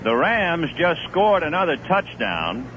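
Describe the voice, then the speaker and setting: Male baseball announcer, conversational and lively, old radio sound. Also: a little high, a little slow. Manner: lively, conversational, reeling off statistics. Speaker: play-by-play announcer. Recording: muffled 1970s radio broadcast with hiss.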